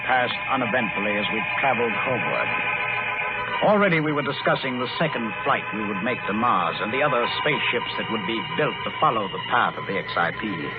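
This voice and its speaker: An actor playing a resolute spaceship commander who narrates a Mars voyage in deliberate 1940s radio drama style. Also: male voice, on the low side, big pitch swings. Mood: somber narration. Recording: muffled old 1940s radio recording, music.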